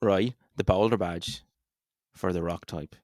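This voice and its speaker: Low-voiced Irish man, casual podcast chat. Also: measured pace, a little mellow, no echo, studio quality. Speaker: podcast co-host. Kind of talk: casual chat, guiding a game playthrough.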